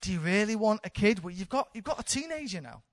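A woman's voice: medium pitch, big pitch swings, fast talker, dry recording. A woman in her thirties, a church leader, giving an informal, candid sermon with self-deprecating humour. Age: 30s.

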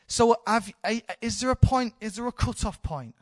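A woman's voice: fairly high pitch, animated, normal speed, darkish tone, no echo, studio quality. A woman in her thirties, a church leader, giving an informal, candid sermon with self-deprecating humour. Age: 30s.

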